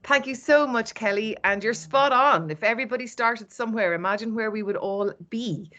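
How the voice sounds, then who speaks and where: A woman from East Cork with an Irish accent, hosting an online launch event in a lively, playful, encouraging way. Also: on the high side, moderate pace, lo-fi sound. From Ireland. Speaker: host. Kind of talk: upbeat webinar hosting. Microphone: video call.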